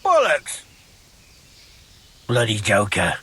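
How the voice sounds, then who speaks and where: Sour out-of-work villager, forceful bright tone, blunt and grumbling, game cutscene. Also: male voice, fairly low pitch, huge pitch swings, slow, breathy edge, full character voice. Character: sour, foul-mouthed out-of-work village carpenter. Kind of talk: video game cutscene dialogue.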